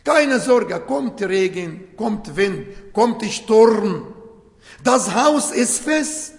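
Slow, high-voiced preacher with Arabic mother tongue. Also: animated, gravelly. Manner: slow, emphatic phrasing with short broken clauses; non-native German grammar. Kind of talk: sermon. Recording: echoey hall.

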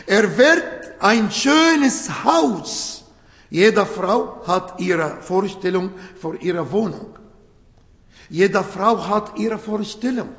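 A man whose first language is Arabic, preaching a sermon in slow, emphatic phrases with non-native grammar. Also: high-pitched, animated, a bit bright. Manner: slow, emphatic phrasing with short broken clauses. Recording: echoey hall.

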